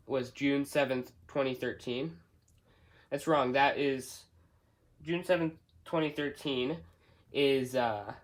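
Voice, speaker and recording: A man doing an unscripted product walkthrough, halting as he thinks aloud step by step. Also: medium pitch. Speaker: hobbyist product reviewer. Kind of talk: unscripted unboxing and setup walkthrough.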